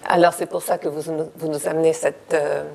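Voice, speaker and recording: A woman hosting a community TV interview, talking in a warm, enthusiastic, chatty way. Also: deep voice, flat delivery, a little fast, gravelly, slight background noise. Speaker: TV host.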